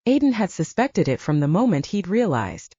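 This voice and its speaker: A female audiobook narrator reading scripted fiction. Her voice is mellow, with a widely varied, lively intonation. The sound is close and dry, though a little dull. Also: on the low side, normal speed, breathy edge, no room sound.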